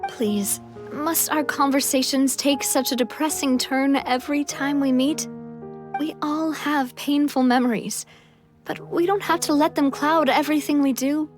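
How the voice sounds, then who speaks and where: Poised, high-voiced young lady. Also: unhurried, music in the background. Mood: gentle, sober. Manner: polite, composed, gently assertive. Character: poised, take-charge young lady of the town. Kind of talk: video game voice acting.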